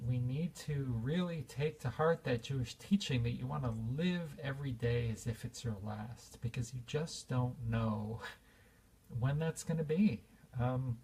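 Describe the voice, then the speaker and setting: A man's voice: mid-range voice, gravelly, warm tone, husky, distant mic. A man in his fifties giving an earnest, reflective spiritual talk, with fillers and restarts. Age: 50s. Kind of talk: informal spiritual talk to an audience.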